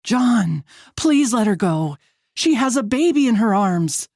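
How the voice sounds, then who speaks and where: A woman's voice: a little high, very animated, a little slow, darkish tone, dry recording, studio quality, voice pushed up. A woman voicing an anguished, protective middle-aged mother and grandmother in an audiobook, pleading tearfully.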